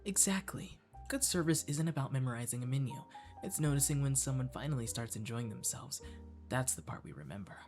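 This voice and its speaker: A man as a sweet, animated catboy waiter. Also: medium pitch, fairly quick, loud music behind the voice, natural voice. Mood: sweet, flirty. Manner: courtly, coaxing, gently playful. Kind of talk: flirty comfort ASMR roleplay.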